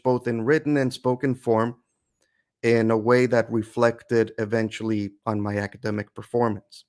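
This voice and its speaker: A man who teaches English, speaking as an interview guest in a thoughtful, halting way with frequent restarts. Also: mid-range voice. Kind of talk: reflective interview conversation.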